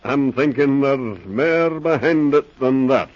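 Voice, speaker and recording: A man's voice: medium pitch, animated, dull audio. An actor playing a canny, musing Scottish man in a radio drama, with a Scottish accent. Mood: curious, amused. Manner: slow, musing, sly. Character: canny, chuckling Scottish friend of the inspector. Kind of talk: 1940s radio drama acting.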